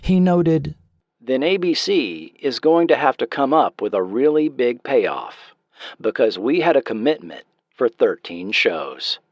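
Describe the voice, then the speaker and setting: A man narrates a scripted documentary with deliberate care. His pitch moves expressively while the pace stays slow, and the recording is close and dry. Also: big pitch swings, no room sound, dull audio. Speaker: podcast host and narrator.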